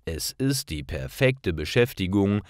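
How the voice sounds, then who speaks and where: Slow, low-pitched male story narrator. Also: expressive, no echo, clean studio sound. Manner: careful, clearly separated sentences. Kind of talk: scripted story narration for language learners.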